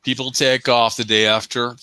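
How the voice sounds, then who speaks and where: A man's voice: mid-range voice, little pitch movement, moderate pace, sharp tone, smooth, no echo. A man on a sports show panel chatting casually about football, often repeating himself for emphasis.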